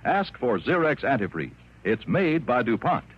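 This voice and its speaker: A male American announcer delivers an emphatic vintage radio commercial. His voice is rough-edged and mid-pitched. The old broadcast sounds dull and narrow. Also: very animated, normal speed. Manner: emphatic, selling. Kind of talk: mid-century radio advertisement read.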